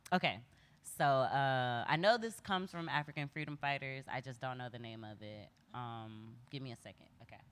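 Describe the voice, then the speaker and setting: A woman with a low-pitched voice hosts a community radio show. She talks in a casual, reflective way, informal and heartfelt, often feeling around for the right word. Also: a bit bright.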